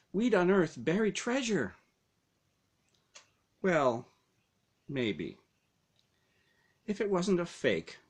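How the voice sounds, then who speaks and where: A man reading his own first-person story aloud as narration, with dramatic, deliberate phrasing. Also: a little high.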